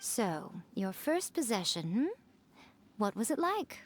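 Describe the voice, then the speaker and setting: A woman's voice: medium pitch, very animated, slow pace, slight background noise. A woman voicing a sly, elegant fox shrine priestess in a video game, playful, teasing and self-assured. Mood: teasing, pleased. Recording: music behind the voice.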